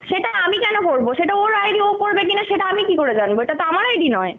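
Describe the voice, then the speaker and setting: A woman's voice: very high-pitched, lively intonation, quick pace. A young woman arguing defensively on a phone call, emphatic and repeating herself. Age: young adult. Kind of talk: argumentative phone conversation. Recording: thin, narrow phone line.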